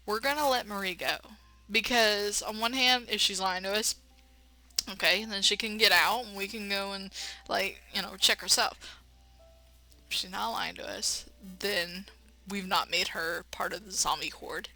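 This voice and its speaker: A woman playing a game on camera, switching between dramatic read-aloud narration and chatty, animated commentary with folksy exclamations. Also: mid-range voice, moderate pace, no room sound, faint music behind, low-quality recording. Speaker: let's player.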